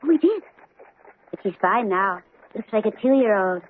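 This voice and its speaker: A woman's voice: medium pitch, lively intonation, a little slow. An actress playing a playful, breezy young woman of twenty in a 1970s radio drama, girlish and teasing. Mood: breezy, insistent. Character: bright, teasing young woman of twenty, eerily matter-of-fact. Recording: tinny, noisy old radio broadcast.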